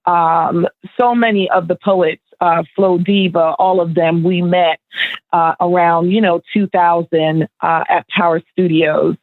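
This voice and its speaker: A woman's voice: on the low side, a little slow, dry recording. Middle-aged woman on a tinny phone line. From Barbados. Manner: relaxed, laughing, storytelling with fillers. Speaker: guest, spoken word event organizer. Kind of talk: radio interview answers.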